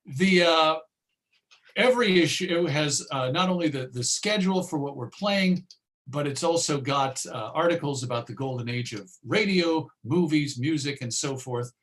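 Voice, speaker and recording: A man speaking as a radio host giving an informal online presentation, chatty and self-deprecating, with a halting delivery. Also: on the high side, fairly bright tone. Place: remote video presentation.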